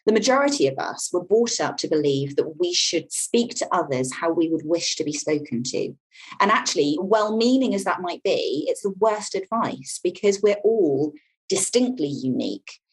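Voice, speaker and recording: A woman's voice: lowish voice, very animated, moderate pace, breathy edge, low-quality recording. A woman communication coach speaking in an articulate, emphatic way about how people take in information. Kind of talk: interview talk, explaining.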